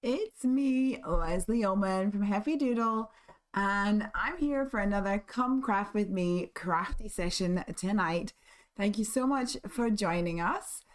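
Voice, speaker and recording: A woman hosting a live crafting stream, husky and hoarse from losing her voice, chatting in a friendly way with many ums and small laughs. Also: medium pitch, unhurried. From United Kingdom. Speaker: craft stream host and shop owner.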